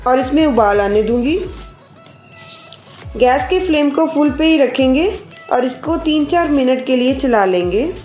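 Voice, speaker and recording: A woman hosting a cooking channel, calmly explaining each step of a recipe to her viewers. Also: high voice, echoey room. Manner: calm, explanatory, addresses viewers directly. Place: home kitchen.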